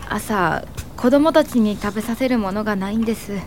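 A woman's voice: medium pitch, moderate pace, background noise, lightly acted. An actress playing a destitute, anxious young mother in a radio drama, speaking deferentially and fragilely. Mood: despairing.

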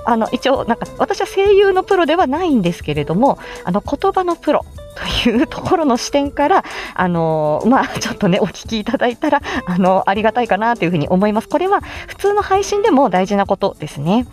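A woman's voice: a little high, big pitch swings, fairly quick, hardly any pauses, darkish tone, soft background music. A woman presenting an informal instructional livestream in a chatty, explanatory way. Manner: chatty, explanatory, greets listeners by name. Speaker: presenter teaching how to make voice samples; speech therapist and amateur voice actor.

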